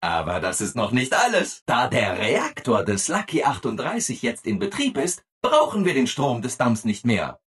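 Over-eager robot assistant, video game character, hugely swooping intonation, very husky rough-edged tone, relentlessly cheerful. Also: male voice, medium pitch, huge pitch swings, normal speed, hardly any pauses, fairly bright tone, exaggerated voice. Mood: excited, helpful. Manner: chirpy, over-eager, exaggeratedly obliging.

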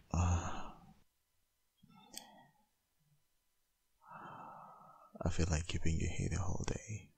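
Man acting very deep, husky, possessive boyfriend. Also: a little mellow, heavily acted. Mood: tender, affectionate. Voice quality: gravelly, breathy, husky, often half-whispered. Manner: slow, murmuring, lingering. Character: tender, possessive dominant boyfriend, doting on his partner. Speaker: ASMR roleplay performer. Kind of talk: intimate boyfriend ASMR roleplay.

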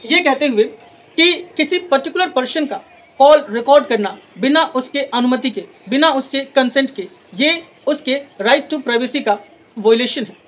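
A young man giving an explanatory law lecture, speaking deliberately phrase by phrase and repeating for emphasis. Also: very high voice, fairly bright tone, low-quality recording. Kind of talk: explanatory lecture with English legal terms mixed in. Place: in front of a classroom blackboard. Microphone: lapel mic.

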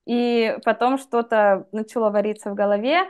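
A woman's voice: fairly high pitch, fairly even intonation, moderate pace, smooth. A young woman from Russia telling her own story as a guest over a video call, candid and reflective. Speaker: guest, English teacher. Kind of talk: personal story in interview. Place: dim room indoors. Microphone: video call webcam.

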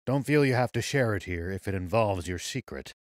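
An actor playing a stern, shrewd older man in a video game, speaking in a serious, deliberate, authoritative way. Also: on the low side, expressive, dry recording, studio quality, natural voice. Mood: serious, considerate. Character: stern, shrewd old male lawman. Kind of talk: English video game voice acting.

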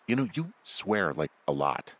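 An actor with an American accent playing a wary, level-headed fire lookout in a video game, hesitant and conversational. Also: male voice, deep voice, big pitch swings, unhurried, a bit bright, dry recording, exaggerated voice. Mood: matter-of-fact. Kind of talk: naturalistic video game dialogue over walkie-talkie.

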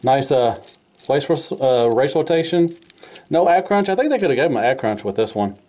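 A man with a mid-pitched voice reviews toys casually. He talks in an informal, folksy way and tends to repeat his phrases. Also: expressive, normal speed, a little mellow, a little hiss or hum. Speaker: toy reviewer. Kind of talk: casual hands-on product review.

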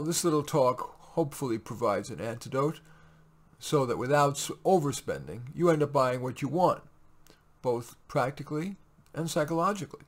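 A man in his sixties giving a scripted advice talk to camera in a measured, lightly wry way, recorded on a webcam in a small home office. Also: medium pitch. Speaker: host.

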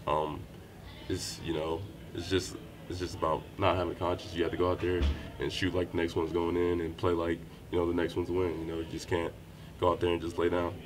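A young man answering questions at a postgame press interview, speaking in a low-key, measured way with frequent fillers. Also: completely flat delivery, over music. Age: young adult. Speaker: college basketball player. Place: small indoor room by a wall. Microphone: handheld reporter mics held close.

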